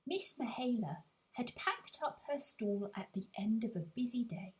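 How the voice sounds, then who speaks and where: A woman narrating a children's audiobook, telling the story expressively in a measured way with deliberate pauses. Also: fairly high pitch, very animated, darkish tone. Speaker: author reading their own audiobook.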